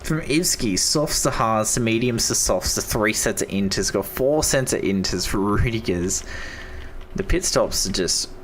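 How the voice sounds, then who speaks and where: Hoarse young Australian race commentator. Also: male voice, on the low side, animated, measured pace, slight background noise. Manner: enthusiastic, repeats praise, casual slang. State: hoarse, losing his voice.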